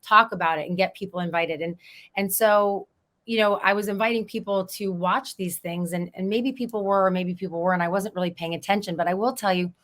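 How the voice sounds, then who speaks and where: A hoarse woman, a real estate agent, chatting in an interview and telling stories with easy laughter. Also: medium pitch, fairly even intonation, brisk, fairly bright tone. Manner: chatty, storytelling, laughs easily. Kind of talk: casual interview chat.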